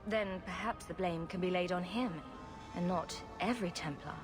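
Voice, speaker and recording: An actress playing a bold, wry, take-charge adventurer in a fantasy video game. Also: female voice, on the low side, moderate pace, close to an everyday voice. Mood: questioning. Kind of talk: video game dialogue acting. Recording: clear music under the voice.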